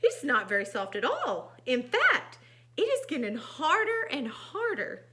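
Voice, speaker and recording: A woman's voice: high voice, huge pitch swings, unhurried, sharp tone, voice pushed up, full character voice. Woman voicing a small, exclamatory storybook pig. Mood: puzzled. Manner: exclamatory, emphatic. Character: small fussy mud-loving young pig in a storybook.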